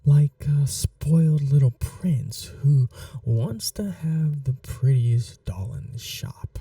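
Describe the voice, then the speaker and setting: A man playing a possessive, seductive vampire in an intimate ASMR roleplay, speaking in a soft, breathy half-whisper with a languid, teasing, condescending manner. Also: a little high, expressive, slow pace, no room sound, far from the mic, exaggerated voice. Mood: nostalgic, dark.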